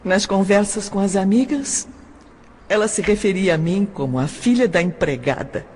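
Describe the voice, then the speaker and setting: A woman with a Brazilian accent playing a lonely, wounded but polite adopted girl of seventeen in an audio drama, speaking in a measured way. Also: medium pitch, lively intonation, darkish tone, breathy edge. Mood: hurt, bitter. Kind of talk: audio drama acting, first-person recollection and dialogue. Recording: long hall reverb, music behind.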